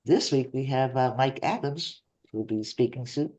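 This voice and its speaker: A woman hosting an online investor meetup, lively and laughing often. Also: very deep voice, normal speed. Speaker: host. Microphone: video call.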